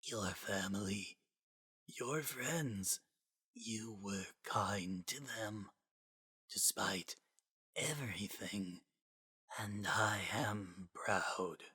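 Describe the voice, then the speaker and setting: A man voicing a dying skeleton doctor in a story reading, gentle and solemn, with a very faint, breathy, halting whisper. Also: fairly low pitch, heavily acted. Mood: gentle, proud. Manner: slow, halting, solemn delivery. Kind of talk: dramatic fan-fiction reading.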